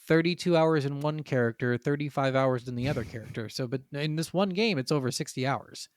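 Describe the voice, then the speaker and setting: A man's voice: medium pitch, brisk, no echo, clean studio sound. A man co-hosting a casual gaming podcast, talking informally and swearing freely. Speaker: podcast co-host.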